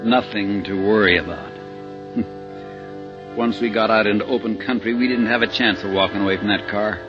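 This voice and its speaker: Man acting wry middle-aged husband in old radio thriller, low voice, terse and hard-boiled, muffled recording. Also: fairly even intonation, moderate pace, over loud music, lightly acted. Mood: tense narration. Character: steady, wry middle-aged husband narrating his ordeal. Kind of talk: 1950s radio drama acting.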